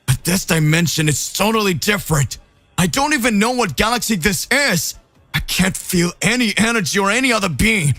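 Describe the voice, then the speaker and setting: A man's voice: sits high, sing-song, normal speed, sharp tone, no echo, soft background music, voice pushed up, heavily acted. A man voicing an eager, cheerful young martial artist hero. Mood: angry. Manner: upbeat and eager.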